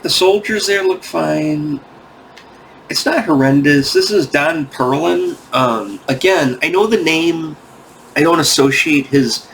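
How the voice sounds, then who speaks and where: Man, gravelly, tired, rambling comic commentary, faint room noise. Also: mid-range voice, fairly bright tone, poor audio. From United States. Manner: meandering, restarts often, goes off on tangents. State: tired, yawning. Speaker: comic book video host.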